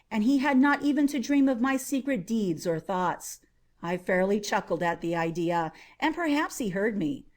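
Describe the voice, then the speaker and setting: A woman's voice: mid-range voice, a little mellow, close to an everyday voice. A woman voicing a cunning mad murderer who insists on being sane, in dramatic horror audiobook narration with emphatic delivery. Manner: theatrical, emphatic, drawn-out repetitions.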